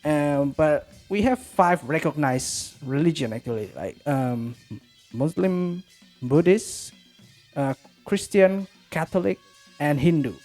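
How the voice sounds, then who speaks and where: A man with a slightly high voice and an Indonesian accent. He talks casually as an interview guest, explaining things in an easy conversational style, with faint music behind him. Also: animated, slow pace, darkish tone. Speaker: podcast guest.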